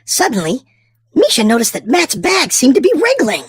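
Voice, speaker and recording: Woman reading a storybook with very animated pitch. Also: slightly rough, no echo, studio quality. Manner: theatrical, drawn-out emphasis for small children. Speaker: children's storybook reader and channel host. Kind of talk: expressive read-aloud narration for young children.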